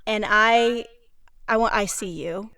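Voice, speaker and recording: A woman's voice: mid-range voice, slow, pushed and bright, no room sound, clean studio sound. A woman in casual discussion, speaking in an earnest, supportive manner.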